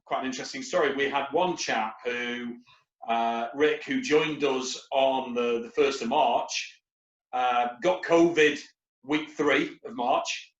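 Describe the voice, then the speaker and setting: A man's voice: medium pitch, slow, echoey room, lo-fi sound. A man, a recruitment company leader, talking on a webinar panel in a rambling, anecdotal way with lots of fillers. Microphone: video call.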